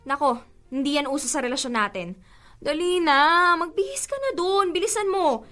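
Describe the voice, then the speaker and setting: A woman playing an affectionate, playfully insistent young girlfriend in a radio drama, expressive and coaxing. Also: high voice, normal speed. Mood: playfully pushy. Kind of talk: Filipino radio drama acting.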